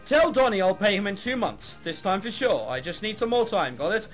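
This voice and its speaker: A man reads as a cocky young female street fighter from a visual novel. The delivery is high and animated, defiant and brusque. Faint music sits behind. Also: fairly quick. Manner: defiant, dismissive. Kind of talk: visual novel dialogue read aloud.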